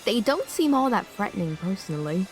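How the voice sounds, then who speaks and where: Woman as an inquisitive, expressive youngster. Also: medium pitch, brisk, soft background music, lightly acted. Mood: curious. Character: sensible, inquisitive youngster in a magical world. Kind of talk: audio drama acting.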